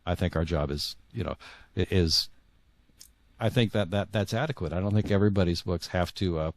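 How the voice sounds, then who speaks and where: A middle-aged man with a deep, low voice, recorded dry and close. He speaks earnestly as an interview guest, halting and repeating words as he works out his answers. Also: no room sound.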